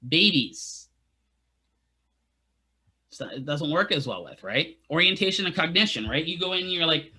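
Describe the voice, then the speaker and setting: A man lectures a class of therapy students in a loose, joking way and checks in constantly with the room. His voice is bright and forward, and it sits a little high for a man. He sounds at ease in front of his students. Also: expressive, moderate pace, pauses often, husky. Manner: casual, asks "right?" often, jokey asides to students. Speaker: physical therapy instructor.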